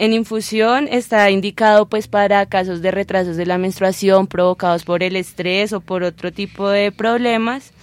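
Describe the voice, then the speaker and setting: A woman calmly explaining a topic, with many small fillers. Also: medium pitch, no room sound. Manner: calm, explanatory, with fillers like "pues". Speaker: presenter. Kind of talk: informational talk.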